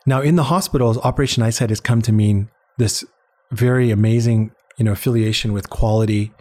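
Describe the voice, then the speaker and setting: Earnest man with a low, mellow voice. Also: steady tone, fairly quick. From born in Uganda, later lived in Canada. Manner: earnest, reflective, repeats words for emphasis. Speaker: interview guest, head of an international eye-care charity. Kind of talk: conversational personal storytelling.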